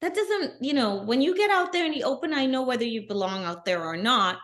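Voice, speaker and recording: A middle-aged woman, a guest on an interview podcast, telling her story in an earnest, animated way. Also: high voice, fairly quick. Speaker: guest, bikini athlete. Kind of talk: casual interview.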